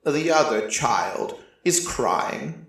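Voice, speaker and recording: A man voicing an ancient, solemn god of prophecy in a dramatic first-person story, with deliberate pauses. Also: mid-range voice, sing-song, a bit bright, full character voice. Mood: grave, watchful. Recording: heavily echoing, distant-sounding voice.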